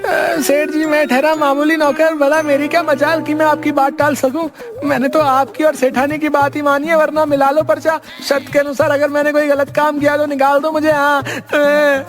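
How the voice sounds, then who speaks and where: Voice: high-pitched, little pitch movement, moderate pace, music in the background, close to an everyday voice. A performer voicing a cheeky young male servant in a cartoon story, exaggeratedly deferential and literal-minded. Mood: mock obedient. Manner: exaggeratedly deferential, singsong obedience. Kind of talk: Hindi cartoon story dialogue.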